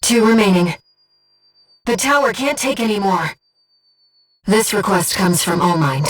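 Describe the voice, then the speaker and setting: A woman voicing a gentle, earnest, disembodied female companion in a video game, guiding a pilot with composed, precise callouts and briefings. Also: medium pitch, lively intonation, unhurried, far from the mic. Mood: alert, urgent. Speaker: mission support companion.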